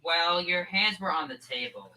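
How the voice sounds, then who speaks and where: A man's voice: a little high, animated, moderate pace, bright, forceful tone, poor audio. A young male performer playing an eager, needling son with slangy boyish talk in a school old-time radio comedy. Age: young teen. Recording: faint background noise.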